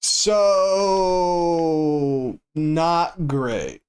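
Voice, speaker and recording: A man streaming a game who chats casually in a flat, stoic way and swears freely. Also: a little high, drawn-out words, smooth. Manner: monotone and stoic delivery. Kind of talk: casual chat while gaming.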